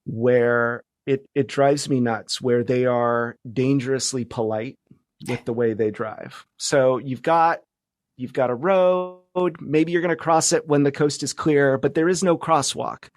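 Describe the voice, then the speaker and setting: A middle-aged man speaking as a podcast guest, chatting casually and somewhat haltingly as he thinks aloud. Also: mid-range voice, steady tone, no echo, clean studio sound. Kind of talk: casual conversational storytelling.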